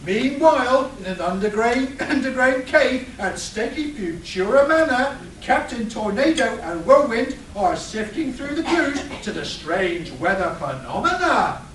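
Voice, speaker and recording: A mock-serious serial narrator played by a man with a very high voice, announcing a comic radio play with drama. The sound is echoey and far from the mic. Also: big pitch swings, a little slow, hardly any pauses, a bit bright, slight background noise, full character voice. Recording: echoey, distant live stage capture.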